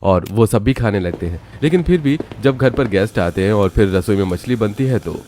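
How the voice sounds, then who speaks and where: Low-voiced man narrating a cartoon story. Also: animated, a little fast, warm tone, a little hiss or hum.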